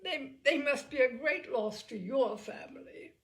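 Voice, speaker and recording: A woman's voice: high voice, animated, measured pace, pitched above the performer's own voice. A woman voicing a sneering, mock-polite young society lady in a storybook reading, with a haughty manner. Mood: sneering civility.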